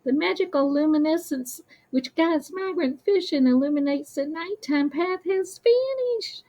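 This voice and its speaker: A woman voicing an urgent, excitable little male firefly in a children's storybook. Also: high voice, unhurried, no echo, muffled recording, pitched above the performer's own voice. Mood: worried. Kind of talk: children's storybook read-aloud.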